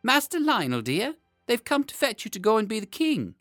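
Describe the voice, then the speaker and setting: A man's voice: high voice, wildly swooping pitch, moderate pace, a bit bright, dry recording, studio quality, faint music behind, voice pushed up, full character voice. A man voicing a fussy, motherly nursemaid woman who scolds with affection in a storybook reading. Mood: urgent, excited.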